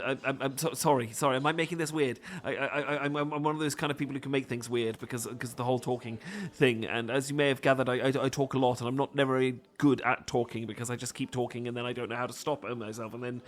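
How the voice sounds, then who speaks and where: A man's voice: medium pitch, a bit bright, close to an everyday voice. A man with a British accent playing a flustered, bashful, adorkable romantic who stammers and rambles apologetically in an intimate ASMR roleplay. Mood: flustered, rambling. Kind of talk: intimate ASMR roleplay acting, addressed to listener.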